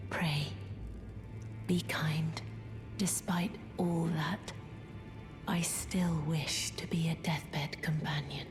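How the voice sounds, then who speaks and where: An actress playing a gentle, sorrowful deathbed companion in a video game, in a soft, breathy near-whisper with courtly manners. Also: female voice, deep voice. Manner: slow, hushed, pleading courtesy.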